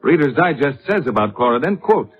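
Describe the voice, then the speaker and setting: A man's voice: mid-range voice, sing-song, a little fast. A man announcing on 1950s radio in a scripted advertising style. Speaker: commercial announcer. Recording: muffled 1950s radio broadcast, faint music.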